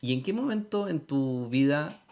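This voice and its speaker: A man with a Chilean accent hosting a casual interview, speaking in relaxed, slangy terms. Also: fairly high pitch, moderate pace, gravelly. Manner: relaxed, colloquial, uses local slang. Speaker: interview host.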